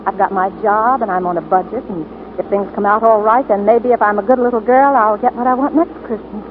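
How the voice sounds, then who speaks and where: A woman's voice: medium pitch, fairly even intonation, a little fast, lightly acted. An actress playing a brave, tender young woman hiding a secret in sentimental 1940s radio drama. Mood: wistful, resolute. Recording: scratchy, tinny 1940s radio broadcast.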